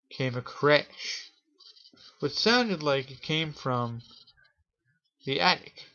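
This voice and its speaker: A man hosting a show and improvising a spooky story for his viewers, speaking haltingly in short phrases with pauses. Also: fairly high pitch, a bit bright, highs cut off. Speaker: host telling a scary story.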